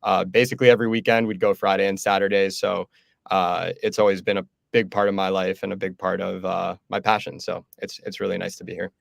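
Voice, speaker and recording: A man in his thirties from Miami, giving relaxed, steady interview answers with the odd filler. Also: deep voice, brisk, gravelly, no echo, clean studio sound. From Miami, Florida. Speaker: guest.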